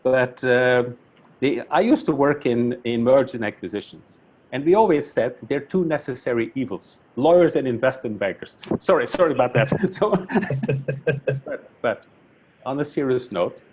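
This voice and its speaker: A man's voice: medium pitch, expressive, moderate pace, darkish tone, slight background noise, poor audio. A man from Belgium, a Flemish speaker, introducing a speaker at a webinar in a friendly, self-deprecating way, often restarting his words over a video call. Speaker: academic council chairman introducing a speaker.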